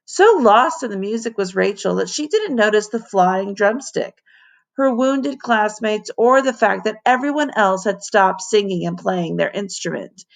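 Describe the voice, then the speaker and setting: A woman teacher reading a children's story aloud in an expressive narration, with dramatic emphasis on key words. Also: medium pitch, a little slow, vocal fry.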